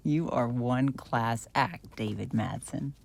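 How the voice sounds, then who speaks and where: A woman's voice: extremely low pitch, takes it slowly, vocal fry, heavily acted. A woman acting a middle-aged mother in a drama game, wry, affectionate and playfully teasing. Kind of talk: video game dialogue acting.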